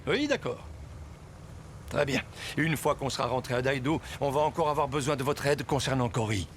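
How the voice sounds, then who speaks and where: A man voicing a calm, polite adult in charge, composed and courteous, in a French cartoon dub. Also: medium pitch, normal speed, slight background noise, lightly acted. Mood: reassuring.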